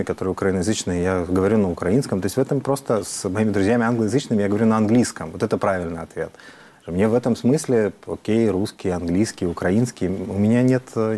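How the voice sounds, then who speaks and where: A man talks quickly in a lowish, mellow voice. He explains his points earnestly in an interview and often stops to hesitate with fillers. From Ukraine. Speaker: member of parliament, guest. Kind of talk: interview answers.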